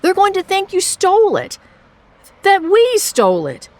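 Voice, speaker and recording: A woman's voice: very high-pitched, wildly swooping pitch, a little slow, heavily acted. A woman playing an earnest, kind young boy in a radio play, questioning, exclaiming and coaxing. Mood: worried, alarmed.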